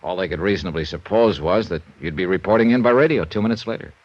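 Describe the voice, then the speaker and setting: An actor playing a shrewd, easygoing American insurance investigator in a 1950s radio detective drama, wry and probing. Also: male voice, low voice, quick pace. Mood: probing, reasoning. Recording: dull vintage radio recording.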